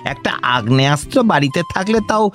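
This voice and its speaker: An actor playing a meek, middle-aged grain trader and moneylender in a Bengali radio play, deferential and hesitant, with a West Bengal accent. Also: male voice, on the high side, expressive, fast talker, fairly bright tone, soft background music. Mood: pleading, deferential.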